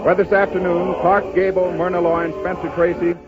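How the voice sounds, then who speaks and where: High-voiced American old-time radio announcer. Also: male voice, little pitch movement, fairly quick, over music. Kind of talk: 1930s radio announcing, scripted. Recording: dull old 1930s radio broadcast.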